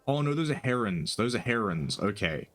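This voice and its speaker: Deep-voiced soothing male streamer. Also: sing-song, moderate pace, faint music behind. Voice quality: soothing, soft-spoken. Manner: rambling, swears casually, lots of ums and likes. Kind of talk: casual gaming stream chat.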